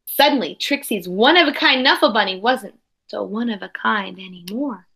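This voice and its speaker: A woman's voice: a little high, lively intonation, normal speed, creaky, pushed and bright, slightly rough. A woman reading a picture book aloud to young children like a teacher, expressively, with explanatory asides and questions.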